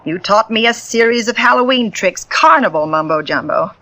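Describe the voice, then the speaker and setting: A woman's voice: on the high side, expressive, moderate pace, pushed and bright. A greedy fake medium from an old-time radio drama. She sounds cold and domineering, with a cutting edge to every line. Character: scheming greedy woman medium, cold and commanding. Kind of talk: 1940s radio drama acting.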